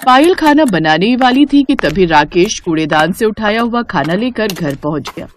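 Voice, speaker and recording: A woman's voice: medium pitch, animated, moderate pace, slight background noise. A woman narrating a cartoon moral story in a scripted storytelling style.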